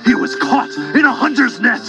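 A man narrating a children's story as a storyteller in an animated, scripted style. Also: very high-pitched, normal speed, over loud music. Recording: dull cassette tape.

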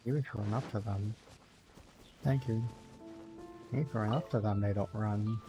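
Brief: man, low voice, quiet and soft-spoken, a bit under the weather, easygoing stream chat with faint music behind. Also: steady tone, measured pace, rough recording. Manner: low-key, chatting with viewers between play. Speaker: game streamer. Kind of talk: casual gameplay stream chat.

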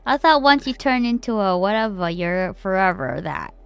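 A woman's voice: medium pitch, big pitch swings, normal speed, creaky, clear, resonant tone, dry recording. A woman playing a tabletop game, chatting casually with the others. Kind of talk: casual chat.

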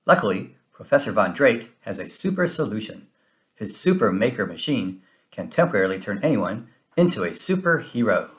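A man reading a children's story aloud in an expressive, animated way with dramatic emphasis. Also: on the low side, moderate pace. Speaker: storybook reader. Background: music.

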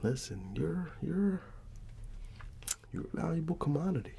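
A middle-aged American man doing a soft-spoken ASMR barber roleplay, breathy and often near a whisper, with an unhurried, halting, fatherly manner. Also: a little high, very animated, a little hiss or hum. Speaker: ASMR performer playing a barber.

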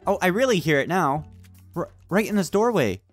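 Excitable male gamer, wildly varied pitch. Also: a little fast, loud music behind the voice. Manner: excitable, playful. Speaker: gaming video co-host. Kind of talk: excitable gameplay banter.

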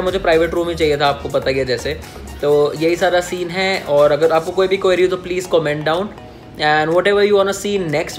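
A man in his twenties giving a casual, jokey tour of his small apartment, talking into a phone held at arm's length and mixing English into his Hindi. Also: on the high side. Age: 20s. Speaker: vlogger. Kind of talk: casual home-tour vlog.

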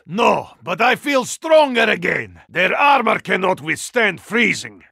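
A gravelly Russian-accented super-soldier. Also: male voice, sits high, sharp tone, no echo, clean studio sound, full character voice. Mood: fierce, booming. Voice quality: gravelly, rough. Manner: formal, declamatory, clipped foreign phrasing. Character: mighty, courteous Russian super-soldier, stoic battle-lover. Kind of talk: video game character voice lines.

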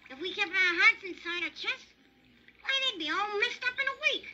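Cheeky monkey, very high and bright, teasing, cocky. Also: expressive, moderate pace, highs cut off, slight background noise, exaggerated voice. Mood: sly. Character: clever cheeky young male monkey. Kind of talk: storybook character voice.

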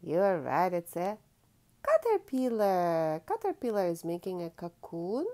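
Slow, very animated woman reading to children. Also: fairly low pitch. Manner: expressive, drawn-out key words, teacherly prompting. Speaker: children's storytime host. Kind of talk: read-aloud picture book for young children, with questions.

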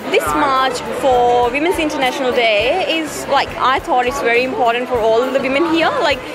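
Woman, high voice, slow searching words, heavy music and crowd noise. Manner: slow, searching, many fillers. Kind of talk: casual interview. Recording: loud music and crowd at a march.

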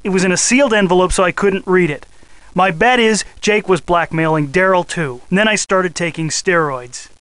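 A man voicing a cocky, aggressive high school football star in a video game, boastful and swaggering. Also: normal speed, fairly bright tone, clean tone, no echo, poor audio. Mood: guarded. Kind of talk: scripted video game voice acting.

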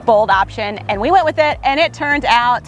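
A woman's voice: fairly high pitch, animated, moderate pace. A woman in her thirties co-hosting a house-flip home tour, explaining design choices enthusiastically on a lapel mic in an empty renovated house. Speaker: house flipper co-host. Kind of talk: casual home-tour chat. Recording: echoey empty rooms, faint music.

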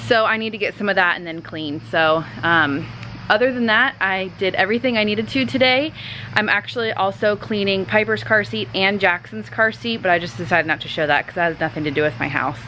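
A woman in her thirties talks casually to her phone camera held at arm's length inside a big house. Her voice is mid-pitched with a bright edge, full of "like" and "um" fillers. A faint hum of room noise sits behind her. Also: a little fast, poor audio. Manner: chatty, upbeat, lots of "like" and "um". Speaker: vlogger. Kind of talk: cleaning vlog narration and selfie chat. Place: large house, indoors.

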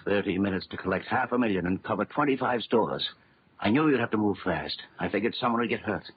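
An actor playing a determined but uneasy detective in a 1930s radio drama, earnest, halting and urgent. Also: male voice, fairly low pitch, slight background noise, close to an everyday voice. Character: determined, uneasy male detective demanding answers. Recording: dull old 1930s radio recording.

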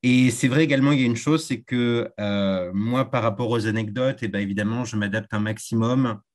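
A man's voice: mid-range voice, a little fast. A man whose first language is Spanish, a tour guide, answering interview questions thoughtfully with frequent hesitations.

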